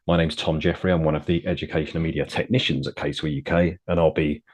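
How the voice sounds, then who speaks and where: A British man opening a software webinar and running through housekeeping in a friendly, clear way with some fillers. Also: very deep voice, fairly even intonation, quick pace. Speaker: webinar host and technician.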